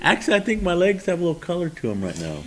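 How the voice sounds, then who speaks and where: A man's voice: sits high, huge pitch swings, racing, crowd noise. An older man with grandkids co-hosting a talk show, wry and needling in his banter. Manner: wry, needling, self-deprecating. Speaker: co-host.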